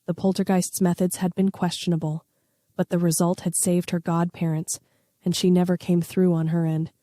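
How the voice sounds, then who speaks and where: A woman's voice: on the low side, mellow, no echo, clean studio sound. A woman reading a scripted story aloud as its narrator.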